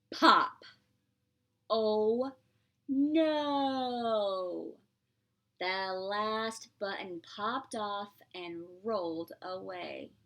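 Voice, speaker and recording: A woman preschool teacher reading aloud to young children, expressive and playful, explaining simply and asking questions as she goes. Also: medium pitch. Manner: expressive, drawn-out, playful exclamations.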